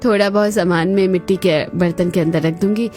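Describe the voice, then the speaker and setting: A woman's voice: on the low side, fairly even intonation, fairly quick, a little mellow, lightly acted. A woman playing a practical, cheerful young housewife in a cartoon family story, with music behind her. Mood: upbeat, explaining. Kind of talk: Hindi cartoon story dialogue.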